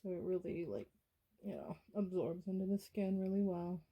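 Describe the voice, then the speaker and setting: A soft-spoken woman doing an ASMR self-care roleplay, gently guiding the listener in a soothing manner. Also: lowish voice, normal speed, slightly rough, lo-fi sound. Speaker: ASMR creator, host.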